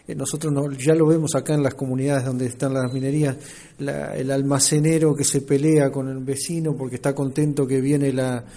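Mellow-voiced Uruguayan man interviewing on radio. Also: mid-range voice. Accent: Uruguayan Spanish. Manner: long, winding questions.

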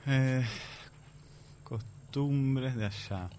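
A young man from Palestine, a radio guest, answering hesitantly with soft laughs. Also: medium pitch, drawn-out words, a little hiss or hum.

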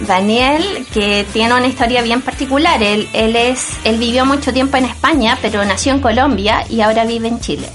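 Woman, radio co-host, playful, teasing, slightly high voice, faint music behind. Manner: playful, teasing, quick to laugh. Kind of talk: casual radio chat.

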